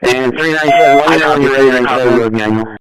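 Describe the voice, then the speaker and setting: A man's voice: medium pitch, fast, heavy background noise. A man working as a train dispatcher, giving procedural instructions courteously over a two-way radio. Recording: transit radio dispatch audio.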